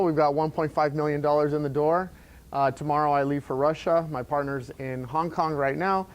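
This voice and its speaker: A middle-aged man speaks to a live audience in an event room. His voice sits a little high for a man. He rambles in a friendly, conversational way, full of fillers and restarts. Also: clear, resonant tone. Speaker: entrepreneur giving a talk.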